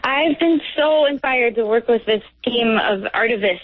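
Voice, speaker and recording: A woman's voice: on the high side, moderate pace, no echo. A woman speaking as a guest on a radio show, earnest and heartfelt, with small hesitations. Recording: tinny phone line.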